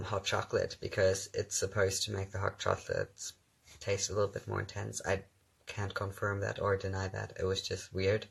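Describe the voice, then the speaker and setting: A man's voice: fairly low pitch, creaky, a bit bright, breathy edge. A young man whose first language is German chats casually on a phone livestream, reading viewer questions aloud and answering with ums and easy laughs. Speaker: actor hosting his own livestream.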